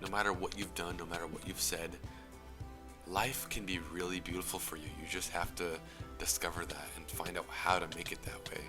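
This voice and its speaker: Young man, very low voice, earnest, reflective, breathy trailing whispers, music underneath. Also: flat delivery, brisk. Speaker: YouTube commentator. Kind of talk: earnest personal commentary.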